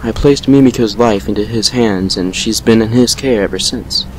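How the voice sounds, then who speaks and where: A man playing a brooding vampire who recounts a painful past with measured regret in an audio drama. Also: on the low side, a little hiss or hum, close to an everyday voice, low-quality recording. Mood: subdued, regretful. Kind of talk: fan fiction audio drama monologue.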